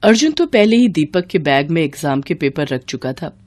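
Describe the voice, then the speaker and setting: A woman's voice: low-pitched, sing-song, moderate pace, darkish tone. A woman narrating a scripted moral story for children.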